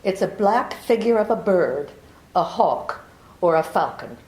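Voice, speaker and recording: A woman's voice: lowish voice, expressive, measured pace, a little mellow. A woman playing a beguiling, deceitful young woman in trouble in a 1940s-style radio play, coy and pleading. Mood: evasive. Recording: echoey room.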